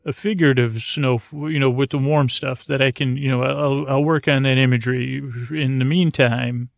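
Man, creaky fry, dry close sound, soothing bedtime monologue, stammering, repetitive, absent-minded tangents. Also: medium pitch, fairly even intonation, dry recording. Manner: meandering, halting, stammering, repeating himself. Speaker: podcast host. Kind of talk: rambling bedtime monologue meant to lull listeners to sleep.

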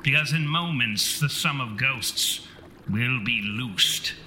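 A man's voice: medium pitch, slow, sharp tone. Man voicing a pompous, piercing vengeful ghost. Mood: ominous. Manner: pompous, formal, theatrical. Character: vengeful, long-winded ghost of a conceited male parapsychologist. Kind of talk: tabletop horror role-play. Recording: reverberant hall sound, noisy ambience behind.

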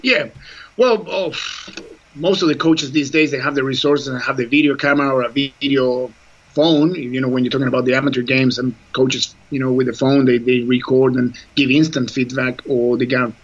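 A man's voice: mid-range voice, fairly even intonation, moderate pace, lo-fi sound. A man who is not a native English speaker, a rugby scrum coach talking earnestly in an interview, with frequent restarts and fillers. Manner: earnest, many restarts and "you know" fillers. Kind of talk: conversational interview. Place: New Zealand.

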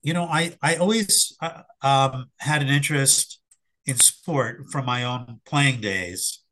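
Middle-aged man, hoarse, bright tone, reflective, halting. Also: medium pitch, low-quality recording. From Philadelphia area. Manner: reflective, hesitant with fillers and restarts. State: hoarse after covid. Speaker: sports psychologist guest. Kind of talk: casual interview answers.

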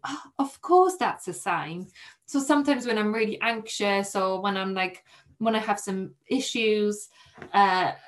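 A young Polish woman, a podcast guest, telling a personal story in a soft, hesitant way with many restarts. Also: medium pitch. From Poland.

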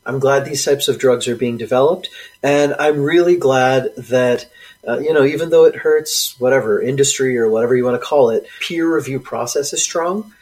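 A man co-hosting a science podcast, earnest and halting, leaning on fillers as he explains. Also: mid-range voice, a little mellow, distant mic. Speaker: podcast co-host. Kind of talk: casual science chat.

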